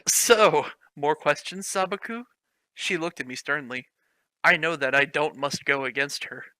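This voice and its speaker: A man's voice: fairly high pitch, unhurried, pushed and bright, no echo. A man reading fan fiction aloud for laughs, with mock-dramatic delivery and frequent laughter. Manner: reads stiff prose with mock drama, breaks into laughter. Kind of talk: comedic dramatic reading with commentary.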